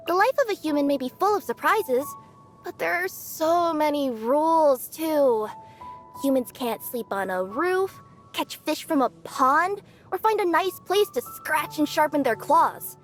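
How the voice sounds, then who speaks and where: A bubbly cat-yokai courier girl from a game, high sweet voice, faint music behind. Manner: bubbly, bashful, flustered denials. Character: cheerful young female cat-yokai courier, playful. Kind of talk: video game character voice lines.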